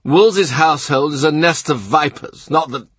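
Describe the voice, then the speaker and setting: A man voicing a blustering, hot-tempered middle-aged English duke in an audiobook, with loud, abrupt, scornful outbursts and a husky voice. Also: a little high, normal speed, no echo, pitched above the performer's own voice. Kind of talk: audiobook character reading.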